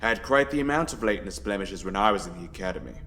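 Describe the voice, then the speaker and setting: A man acts as an authoritative, fatherly captain of a starship in a sci-fi audio drama. His voice sits fairly low, calm and in command. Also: racing. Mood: calm reassuring.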